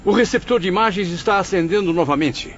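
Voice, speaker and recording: A man's voice: sits high, fairly quick, a bit bright. A man acting an earnest, anxiously inquisitive spirit patient, formal in manner, in an audiobook drama. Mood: anxious, curious. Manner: formal, questioning. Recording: muffled, faint music behind.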